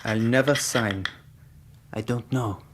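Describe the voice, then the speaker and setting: A slow, weakened, defiant captive. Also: male voice, mid-range voice, fairly bright tone, a little hiss or hum. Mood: weary defiance. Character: defiant, starving captive Greek man, weak but stubborn. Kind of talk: radio drama acting.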